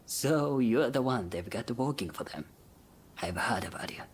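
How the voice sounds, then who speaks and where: An offhand, cool mercenary informant. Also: male voice, medium pitch, lively intonation, normal speed, slightly rough. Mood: cool, indifferent. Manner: offhand, terse, dry. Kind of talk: dramatic video game dialogue.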